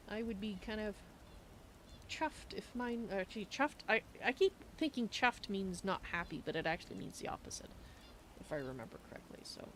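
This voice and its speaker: A woman's voice: mid-range voice, expressive, normal speed, pauses often, a bit bright, slight background noise, poor audio. A woman hosting a casual livestream, chatting in a rambling, self-correcting way. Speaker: streamer, host.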